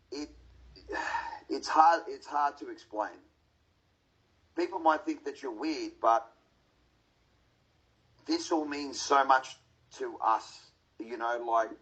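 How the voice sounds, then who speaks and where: A man with an Australian accent talking casually on a podcast panel, emotional, heartfelt and halting, with a husky voice. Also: mid-range voice, a bit bright, poor audio.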